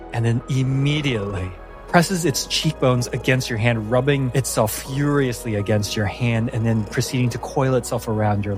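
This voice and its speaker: A man narrating a tabletop fantasy story as game master, in deliberate, suspenseful fragments. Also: mid-range voice. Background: background music.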